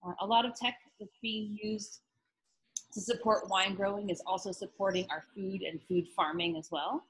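A woman's voice: mid-range voice, steady tone, moderate pace, husky, rough recording. A middle-aged woman informally moderating a panel discussion from home over a video call.

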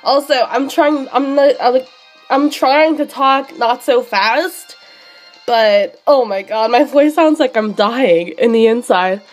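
A young woman streaming a handheld game, sick and losing her voice, chatting excitably with many fillers. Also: sits high, takes it slowly, soft background music. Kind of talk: live shiny hunting commentary.

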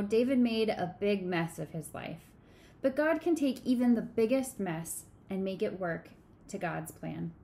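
Woman in her 30s reading a kids' bible story at home, soft expressive voice, camera at desk distance, faint hiss. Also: mid-range voice. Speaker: children's sermon co-leader. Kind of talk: reading a storybook aloud to children. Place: small home room.

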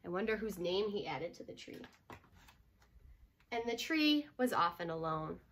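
A woman's voice: lowish voice, sing-song, measured pace, fairly bright tone. A young woman reading a children's picture book aloud, explaining and asking simple questions as she goes. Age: young adult. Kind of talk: children's storybook read-aloud with explanatory asides. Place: furnished room at home, indoors.

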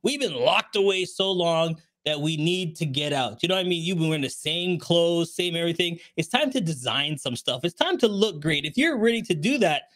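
Male show host, middle-aged, high voice, animated and upbeat, chatty morning delivery. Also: a little fast, hardly any pauses. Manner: enthusiastic, animated, gesturing. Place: small home studio, indoors. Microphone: desk microphone, close.

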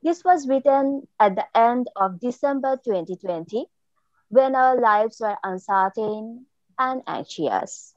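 A woman with a Bangladeshi accent, a writer presenting and reading literary work in a deliberate, measured way over a video call. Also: fairly high pitch, lively intonation. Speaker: writer and filmmaker. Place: indoor room, on a video call. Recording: dull, roomy video-call audio.

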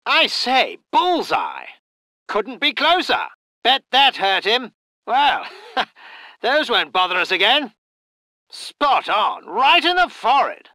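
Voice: mid-range voice, huge pitch swings, slow, pushed and bright, dry recording, exaggerated voice. An actor voicing a posh English military aviator in a video game, with clipped, old-fashioned understatement and a stiff upper lip. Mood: jubilant. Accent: upper-class English. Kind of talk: video game unit voice lines.

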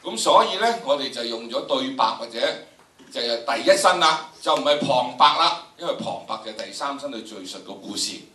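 Casting: man in his sixties, Hong Kong Cantonese, bright forceful lecturer, theatrical delivery, roomy hall sound. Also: medium pitch, lively intonation, a little fast. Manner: theatrical, emphatic, rhetorical questions. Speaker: lecturer, drama educator. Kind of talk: animated lecture to students. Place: large indoor lecture theatre. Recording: echoey lecture hall, distant camera microphone.

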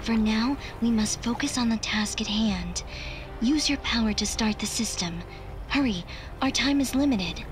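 A woman voicing a calm, cryptic AI girl. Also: on the high side, close to an everyday voice. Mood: detached. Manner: measured, precise, detached. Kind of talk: video game cutscene dialogue. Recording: game audio with music underneath.

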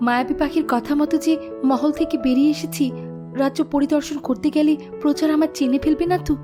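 A woman's voice: high voice, steady tone, fast, over music, lightly acted. A woman voicing a young, earnest, plaintive princess in a fairy tale cartoon. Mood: earnest, thoughtful. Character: young princess turned queen, grieving, naive, earnest.

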